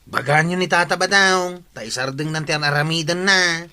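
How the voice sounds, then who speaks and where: Bright-voiced complaining nephew. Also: male voice, on the high side, normal speed. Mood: complaining, urging. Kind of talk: comedic radio drama acting.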